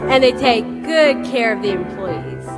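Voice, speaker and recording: A woman's voice: lively intonation, measured pace. A woman playing a practical, reassuring friend with emphatic delivery in a radio-play commercial. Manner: emphatic, scripted pitch. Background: loud music.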